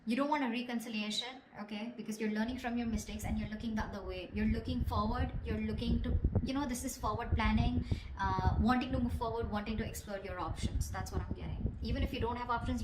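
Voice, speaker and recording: A woman giving an intuitive tarot reading straight to the viewer, conversational, often checking in with little tag questions. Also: on the high side. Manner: conversational, repeats tag questions like okay and you know. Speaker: tarot reader. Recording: echoey room, clear background noise, clicks.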